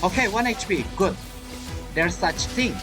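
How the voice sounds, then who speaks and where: A gaming streamer giving casual, halting live commentary and chattily talking back to game characters. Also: medium pitch, lively intonation, music in the background.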